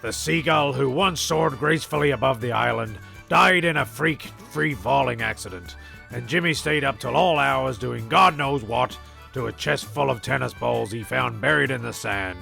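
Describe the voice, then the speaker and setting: A man reading scripted comic story narration in a gravelly voice. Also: medium pitch, moderate pace, pushed and bright, soft background music.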